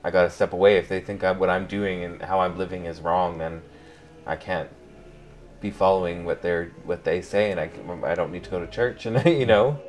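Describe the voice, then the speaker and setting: A man's voice: deep voice, fairly even intonation, moderate pace. A man telling things informally in an interview, in an anecdotal, conversational way. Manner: informal, acts out quoted remarks. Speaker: interviewee in a documentary.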